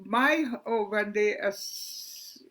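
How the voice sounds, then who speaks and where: An elderly woman tells her own life story to a live online audience. She speaks very slowly and earnestly, with frequent pauses and restarts. Her voice sits at an ordinary pitch for a woman. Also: fairly bright tone. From Hungary. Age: 90s. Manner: slow, halting, earnest retelling with pauses; loses her place and restarts. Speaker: Holocaust survivor giving testimony. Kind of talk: personal testimony on a live stream.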